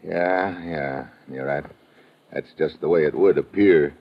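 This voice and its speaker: An actor playing a shrewd, hard-boiled detective with blunt, colloquial delivery in an old radio crime drama. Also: male voice, very deep voice, slow pace, loud music behind the voice, exaggerated voice. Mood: subdued. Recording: dull lo-fi old radio recording.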